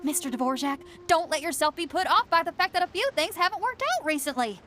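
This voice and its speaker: A bold, friendly young rock-musician girl for a fantasy game. Her voice is high and bright, bouncing through wide swings with breezy confidence and energy. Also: lively intonation, a little fast, a little hiss or hum, full character voice. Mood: encouraging, excited. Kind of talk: video game voice acting.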